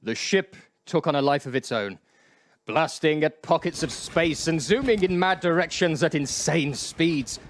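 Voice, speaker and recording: A man's voice: a little high, animated, measured pace, no room sound. A man narrating a comic live radio play in a playful, emphatic storybook manner.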